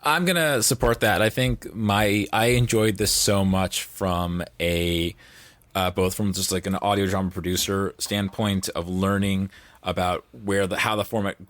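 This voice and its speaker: A man's voice: deep voice, steady tone, measured pace, fairly bright tone, dry recording. A man speaking as a podcast guest in casual, reflective discussion, phrasing his thoughts tentatively. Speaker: podcast guest, audio drama producer.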